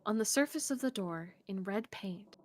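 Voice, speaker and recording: A woman's voice: medium pitch, expressive, normal speed. A woman streamer reading a visual novel's narration aloud, with casual asides. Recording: faint background noise.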